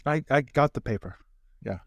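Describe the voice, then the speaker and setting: A man's voice: medium pitch, lively intonation, normal speed, no echo. A man improvising a fussy, chatty, drily teasing bodyguard and former fencing professor in a tabletop roleplay. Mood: fussy, domestic.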